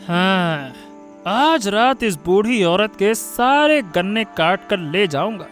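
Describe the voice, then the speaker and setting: A man's voice: high-pitched, lively intonation, slow pace, a bit bright, faint music behind, exaggerated voice. A man playing a sneaky, greedy and cowardly village thief in a Hindi cartoon. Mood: sly, scheming. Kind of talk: Hindi cartoon story dialogue.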